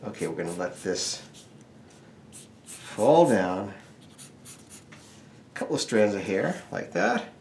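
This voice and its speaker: A man's voice: on the low side, very animated, slow, creaky, long pauses, a little mellow, slight background noise. A man teaching a casual step-by-step drawing tutorial, with wry, joking asides. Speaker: drawing instructor.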